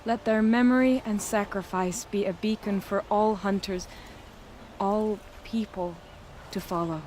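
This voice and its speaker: An actress playing a proud, determined young woman hunter and leader in a video game, speaking in measured, formal phrases. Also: medium pitch, fairly even intonation, darkish tone, a little hiss or hum, lightly acted. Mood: solemn, reverent. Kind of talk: dramatic video game acting.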